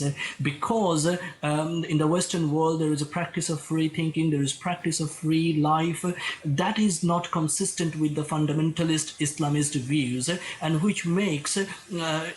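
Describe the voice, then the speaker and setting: Bangladeshi commentator guy on a news interview, repeats himself a lot, bit high-pitched. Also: a little hiss or hum, low-quality recording. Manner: repeats words, restarts often. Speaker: political commentator and lecturer. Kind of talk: remote interview guest.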